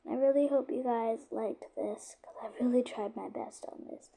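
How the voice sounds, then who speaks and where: A woman casually narrating a made-up day in first person, her voice sore and lowered, often dropping to a soft whisper as she rambles on. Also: steady tone, moderate pace, gravelly. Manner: rambling, with frequent "obviously" and restarts. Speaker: channel creator narrating a roleplay.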